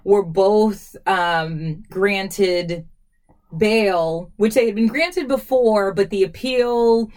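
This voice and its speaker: A woman in her forties hosting a casual podcast, animated and laughing easily, with a quick exasperated edge. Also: medium pitch. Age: 40s. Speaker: podcast host. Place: studio.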